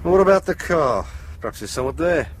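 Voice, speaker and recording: An actor as a lively, wry British police sidekick. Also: male voice, on the high side, fairly quick, close to an everyday voice. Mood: sly, wry. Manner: casual, wry. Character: frank, easygoing male police sidekick detective. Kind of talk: radio drama acting. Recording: noisy ambience with vehicle sound.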